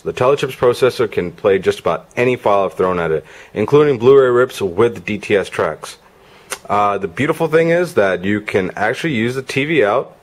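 Low-voiced man giving a gadget review. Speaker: product reviewer. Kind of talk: casual gadget review demonstration.

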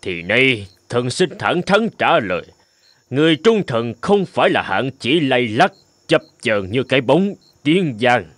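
A man voicing a wise, humble old male court minister who speaks slowly and deferentially. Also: mid-range voice, very animated. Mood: measured. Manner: soft, deferential, slow and measured. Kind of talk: cartoon voice acting.

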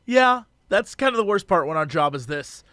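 A man co-hosting a casual podcast, hoarse from losing his voice, joking around and breaking into silly sung bits. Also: sits high, sing-song, normal speed, dry recording. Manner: goofy, sings and shouts bits, jokey asides. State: hoarse, lost voice. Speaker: podcast co-host.

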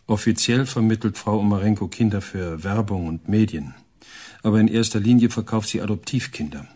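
An actor playing a humble, earnest, elderly husband of little means in a radio drama. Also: male voice, fairly low pitch, a little fast, warm tone, slightly rough. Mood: sorrowful, matter-of-fact. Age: older.

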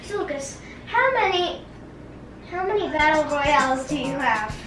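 High-voiced young girl hosting a kitchen vlog. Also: animated, measured pace, recorded from a distance. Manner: bossy, chatty, keeps things moving. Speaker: host. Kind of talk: casual kitchen vlog chat. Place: home kitchen. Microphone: camera across the counter. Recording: echoey kitchen, from the camera, music behind.

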